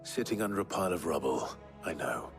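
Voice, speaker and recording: Very deep, hushed warrior. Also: male voice, lively intonation, a little fast, music in the background. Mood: calm. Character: weary, resolute male warrior leader, steadfast and gentle. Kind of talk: video game cutscene acting.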